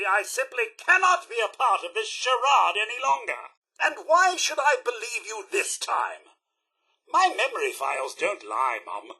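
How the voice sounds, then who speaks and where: An actor playing a loyal, disillusioned robot butler in a video game, with a British accent and a formal, theatrical manner full of wounded propriety. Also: male voice, sits high, bright, forceful tone, slightly rough, full character voice. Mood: grave, disappointed, then bitter, hurt. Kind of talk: video game character dialogue.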